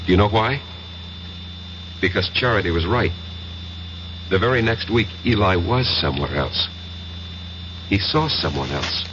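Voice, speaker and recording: An actor playing a haunted professor who confesses a past life as a soldier, in an intense, theatrical 1970s radio drama style. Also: male voice, fairly low pitch, animated, measured pace, long pauses. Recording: muffled, noisy old radio broadcast with hum.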